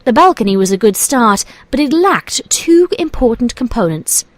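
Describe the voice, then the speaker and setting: A woman's voice: on the high side, sing-song, normal speed, dry recording. A woman reading scripted story narration as the narrator of a tale.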